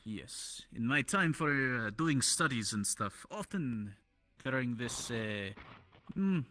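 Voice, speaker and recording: Man playing a bright, lilting scholarly townsman. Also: medium pitch, animated. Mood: reflective. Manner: halting, courteous, old-fashioned phrasing. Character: polite, scholarly townsman in a fantasy town. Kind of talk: improvised virtual-reality roleplay.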